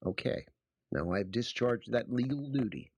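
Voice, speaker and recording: Sardonic, animated teacher played by a man. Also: medium pitch, normal speed, lo-fi sound, a little hiss or hum, lightly acted. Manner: dry sarcasm, emphatic instructing. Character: sardonic American high school history teacher, adult man. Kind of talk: audio drama acting.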